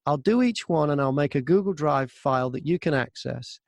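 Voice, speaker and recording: A man talking casually as an interview guest, telling anecdotes in an informal, animated way from a tiny home studio. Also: fairly high pitch, fairly quick, vocal fry, no echo. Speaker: voice-over artist and audiobook narrator, interview guest. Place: very small closet converted to a studio.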